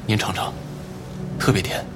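An actor playing a guarded, grieving son in a Chinese audio drama, speaking in a measured, reflective way. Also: male voice, heavy background noise, lightly acted. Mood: somber, reflective. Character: grieving, guarded adult son of a wealthy family. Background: prominent background music.

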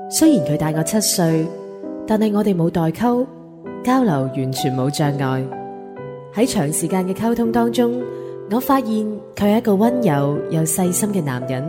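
A woman acting a young woman who fondly recalls her romance, in a measured, sentimental radio drama narration. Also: fairly low pitch, close to an everyday voice. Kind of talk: radio drama narration, confiding first-person storytelling. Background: music.

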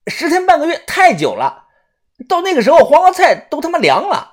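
Man doing a high, brash young sidekick. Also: lively intonation, normal speed, fairly bright tone, breathy edge, full character voice. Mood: impatient, loud. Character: brash, excitable, foul-mouthed young male tomb-robber sidekick.

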